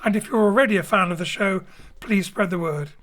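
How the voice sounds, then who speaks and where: A man's voice: high voice, brisk, a bit bright. Man introducing an audio drama show. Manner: friendly, slightly jokey, mixes in Welsh phrases. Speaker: director and co-writer. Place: home studio.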